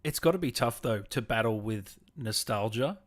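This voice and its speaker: A man's voice: mid-range voice, expressive, moderate pace. A man hosting a livestreamed interview, eager, with short backchannels. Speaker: host. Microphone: video call.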